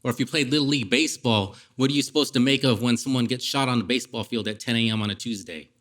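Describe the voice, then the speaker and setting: A man's voice: mid-range voice, fast talker, a bit bright. A man from Los Angeles giving a public lecture through vivid, dramatic personal storytelling. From East Los Angeles, United States. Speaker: guest lecturer, former pastor.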